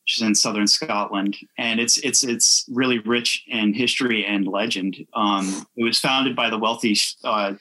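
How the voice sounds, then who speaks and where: A man chatting casually as a podcast guest, telling things informally in a halting way with frequent self-interruption. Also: lowish voice, fairly even intonation, sharp tone, low-quality recording. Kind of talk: casual chat, informal storytelling.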